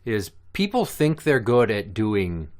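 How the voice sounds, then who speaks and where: Deep-voiced man hosting a podcast. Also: very animated, takes it slowly. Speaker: podcast host. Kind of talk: casual podcast chat.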